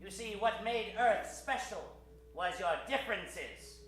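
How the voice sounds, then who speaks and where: A man's voice: measured pace, fairly bright tone, slight background noise. A man playing a gloating, bearded alien emperor villain from Venus in an improvised old-time radio comedy, with theatrical delivery. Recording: echoey, boomy room with faint music.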